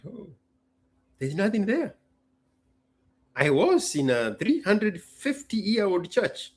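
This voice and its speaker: A man from Kenya hosts a casual talk in a husky voice. His pitch moves widely as he makes his points in short, emphatic sentences, often repeating a phrase. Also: huge pitch swings, slow pace. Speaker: host. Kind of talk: casual solo talk to an audience.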